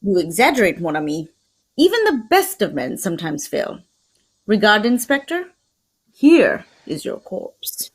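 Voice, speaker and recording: Lady doing a fussy precise detective guy, self-assured, husky, really swoopy delivery, old radio mystery. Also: medium pitch, wildly swooping pitch, measured pace, heavily acted. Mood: soothing, grand.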